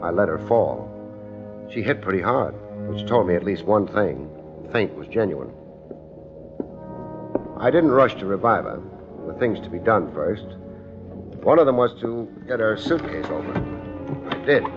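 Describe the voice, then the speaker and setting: An actor playing a wry, unflappable hard-boiled private detective in a 1950s radio drama, with a deadpan, dryly wisecracking delivery. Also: male voice, lowish voice, a little slow, pauses often. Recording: muffled 1950s radio recording with music.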